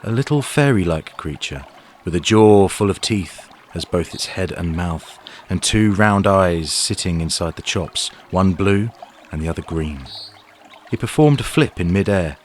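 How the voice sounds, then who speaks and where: A man's voice: deep voice, animated, a little slow, slight background noise. A man narrating a scripted fantasy story for an audio drama.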